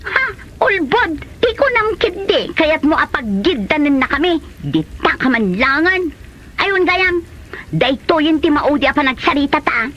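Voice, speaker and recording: A woman's voice: high voice, very animated, a little slow, fairly bright tone, exaggerated voice. A woman playing an indignant, accusing woman in an Ilocano comedy drama. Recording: dull lo-fi audio with music behind.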